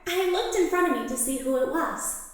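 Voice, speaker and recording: A woman voicing an energetic young girl narrating her own thoughts in a fan audio drama. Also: sits high, normal speed, noisy background. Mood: restless, narrating. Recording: heavy echo on the voice.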